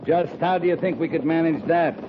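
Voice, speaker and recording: A man's voice: fairly high pitch, fairly even intonation, fairly quick, lightly acted. A man playing a dry, commanding cavalry captain in a 1950s Western radio drama, talking in a laconic, wry way. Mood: stern. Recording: muffled, noisy 1950s radio recording.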